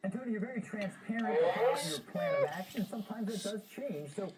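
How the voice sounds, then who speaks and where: A man's voice: high-pitched, wildly swooping pitch, a little fast. A man hosting an interview in a conversational broadcast style. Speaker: interview host. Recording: voice buried under loud music. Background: loud music with other voices mixed in.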